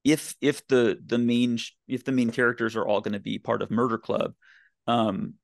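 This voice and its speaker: A man speaking as a podcast guest in casual, enthusiastic discussion, with emphatic and halting phrasing. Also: mid-range voice, flat delivery, gravelly, dry recording. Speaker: podcast guest, blogger and writer.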